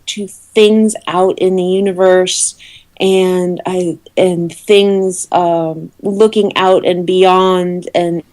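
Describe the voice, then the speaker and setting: A woman's voice: lowish voice, dark tone. A woman being interviewed as a guest, explaining casually and often hesitating and rephrasing mid-sentence. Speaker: interview guest, awards committee head. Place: remote call into a podcast.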